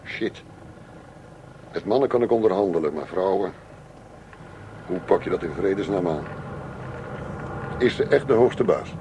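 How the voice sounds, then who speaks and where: A middle-aged businessman in a radio play, deep-voiced and cautious. He talks dryly and deliberately, a little uneasy. Clear noise runs through the recording. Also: lively intonation, mellow, dull audio. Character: cautious middle-aged businessman, married grandfather, sent to negotiate. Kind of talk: radio drama acting. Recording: noisy old radio drama recording.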